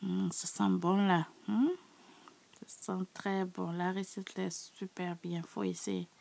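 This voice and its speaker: A woman with a sore, hoarse throat, chatting casually to her subscribers as she walks through a recipe in her kitchen. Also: fairly low pitch, flat delivery, slow pace, a little mellow. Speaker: cooking channel host. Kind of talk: casual cooking tutorial.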